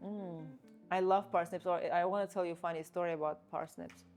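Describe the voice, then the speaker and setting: A woman's voice: lowish voice, fairly even intonation, brisk, a little mellow, faint music behind. Chatty woman chef. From Russia. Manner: chatty, laughs often, tells stories. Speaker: chef and cooking show host. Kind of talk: casual chat while cooking. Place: kitchen.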